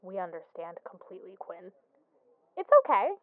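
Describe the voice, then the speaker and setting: A woman's voice: fairly low pitch, animated, moderate pace, dry recording. A woman playing a bewitched, loving wife on a phone call in an audio drama, sweet and unnervingly reassuring. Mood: eerily sweet. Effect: phone call filter. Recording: thin, tinny phone line.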